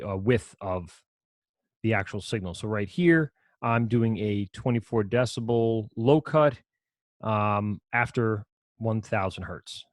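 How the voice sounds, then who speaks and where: A man with a low voice teaching an audio production class over a screen recording, explaining in a casual, rambling way with frequent self-corrections. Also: darkish tone, no echo, clean studio sound. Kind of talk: screen-share software lesson.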